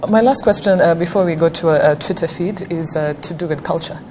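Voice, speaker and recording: A woman with a low voice hosts a panel talk show. She asks long questions full of fillers and restarts. Faint noise sits under the recording. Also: fairly quick. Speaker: host.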